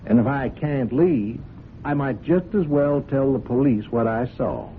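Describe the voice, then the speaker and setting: An actor in an old-time radio drama playing a folksy, ingratiating ranch-country blackmailer, wheedling and hesitant. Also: male voice, unhurried, close to an everyday voice. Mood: sly, menacing. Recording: muffled narrow-band radio, rumbling background noise.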